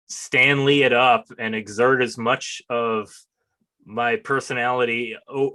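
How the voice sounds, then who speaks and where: A man's voice: medium pitch, sharp tone. A man hosting a casual interview in a chatty, self-deprecating, joking way. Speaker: interviewer, host and comics writer.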